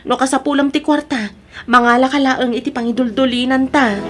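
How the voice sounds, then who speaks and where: A woman playing an earnest, supportive wife in an audio drama, speaking in a measured, matter-of-fact way. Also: sits high, steady tone, over music, lightly acted. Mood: concerned. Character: earnest, supportive wife urging her husband. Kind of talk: Ilocano audio drama acting.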